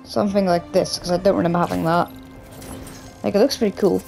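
Croaky young man chatting over gameplay. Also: high-pitched, flat delivery, fast talker, a little mellow, faint music behind. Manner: rambling, loose, with many restarts and "like". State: hoarse, croaky from a cough. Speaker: gaming YouTuber. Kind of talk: casual gameplay commentary.

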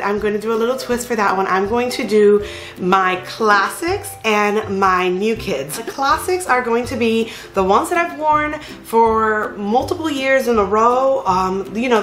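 A woman in her thirties chatting casually about nail polish, gushing and excited, with many fillers. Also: on the high side, moderate pace. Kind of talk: casual vlog chat. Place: bedroom at home. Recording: echoey room with faint music.

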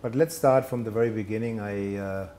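Man in his fifties, dark mellow voice. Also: on the low side, a little fast. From Germany. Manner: hesitant, self-deprecating, many fillers and restarts. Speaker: guest, telecom executive. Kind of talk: conversational interview. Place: studio set with brick wall, indoors.

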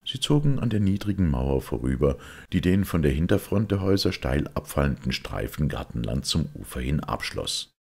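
A male audiobook reader with an extremely low voice narrates detective fiction. His delivery is measured and deliberate. The sound is heavily creaky and very husky. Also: big pitch swings, darkish tone. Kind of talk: scripted literary narration of a detective story.

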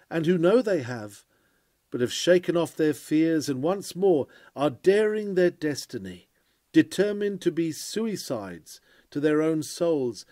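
Male sermon reader, fairly high and mellow, earnest oratorical delivery, dry close sound. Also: dry recording. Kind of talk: dramatic reading of a 19th-century sermon.

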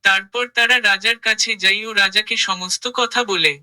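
A children's story narrator with a very bright, sharp tone. The delivery is scripted storytelling at a medium pitch. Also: steady tone, moderate pace.

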